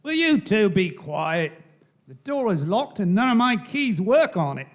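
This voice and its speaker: A man playing a bossy, exasperated English police sergeant in a live radio play, exclaiming and giving gruff orders. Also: high voice, big pitch swings, measured pace.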